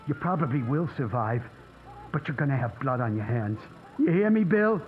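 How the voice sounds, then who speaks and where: A man's voice: medium pitch, lively intonation, moderate pace, phone-quality sound, close to an everyday voice. A male actor in a radio drama playing a desperate young father who pleads urgently and stammers for his family. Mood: bitter.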